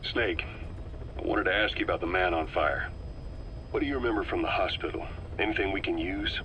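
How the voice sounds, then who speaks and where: A man playing a smooth-talking veteran soldier in a video game, giving measured, self-assured briefings to his commander. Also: low voice, noisy background, close to an everyday voice. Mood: probing. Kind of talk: dramatic scripted game dialogue and briefing narration.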